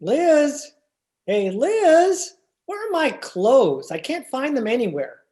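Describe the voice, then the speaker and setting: A woman's voice: sits high, very animated, slow pace, dark tone, full character voice. A woman playing a grumbling, suspicious young banker husband in an old-time radio sitcom style. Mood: exasperated.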